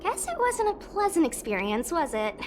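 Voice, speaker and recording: Lively high-pitched spirited young woman. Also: normal speed, background noise. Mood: sympathetic, fired up. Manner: animated, confident, casual. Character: spirited, protective young woman, brash loyal friend. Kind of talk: video game character dialogue. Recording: music behind the voice. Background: game music.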